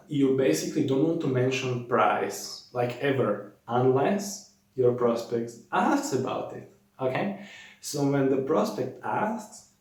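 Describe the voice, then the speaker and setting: A man giving informal sales coaching to the viewer, speaking in a halting, repetitive way and checking in as he goes. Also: medium pitch, darkish tone. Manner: halting, repetitive, often ends points with "okay?". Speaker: online sales coach, video host. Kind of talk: informal instructional talk to camera. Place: reverberant room. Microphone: placed at a distance from the speaker. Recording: very echoey room, distant mic.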